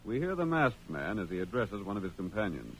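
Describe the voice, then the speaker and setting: An actor narrating a western adventure in an earnest, dramatic old-time radio style. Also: male voice, lowish voice, very animated, fairly quick, a bit bright, a little hiss or hum.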